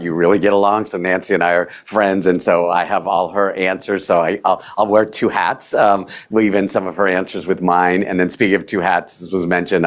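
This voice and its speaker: A deep-voiced man speaking on a panel, his voice worn hoarse. He opens loosely, tossing in self-deprecating jokes before getting to his point. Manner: joking, self-deprecating asides. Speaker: former legislator and panelist. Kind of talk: panel talk.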